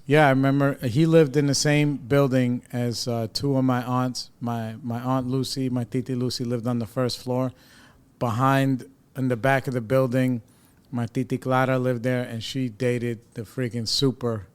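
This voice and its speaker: A man's voice: medium pitch, little pitch movement, normal speed, clean tone. A man hosting a podcast, chatting casually and laughing easily with his guests. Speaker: podcast host. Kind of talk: casual interview chat.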